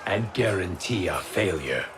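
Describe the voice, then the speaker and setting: An actor playing a wary, solemn warrior in a video game, speaking in a deliberate, skeptical and grave manner. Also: male voice, sits low, expressive, over music, crowd noise. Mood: dry, grim. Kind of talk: video game cutscene acting.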